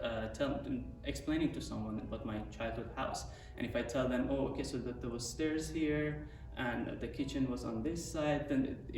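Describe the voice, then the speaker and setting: A man composer who grew up in Iran, explaining his piece in a reflective, thoughtful way. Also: medium pitch. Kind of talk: interview talk. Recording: roomy echo from far off with music.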